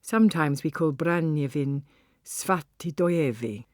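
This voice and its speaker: A woman reading a first-person literary story as an audiobook narrator, with a husky voice. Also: deep voice, animated, a little slow, a little mellow, no room sound, studio quality.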